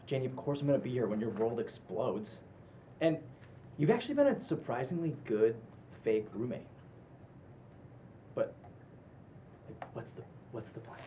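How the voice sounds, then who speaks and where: A man's voice: mid-range voice, big pitch swings, moderate pace, pauses often, mellow, slight background noise, lightly acted. A man playing a teasing, supportive roommate in a casual comedy-drama script read, sounding conversational and playfully sassy. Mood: teasing, frank. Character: Max, exasperated, teasing, supportive male roommate and friend. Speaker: actor at a table read.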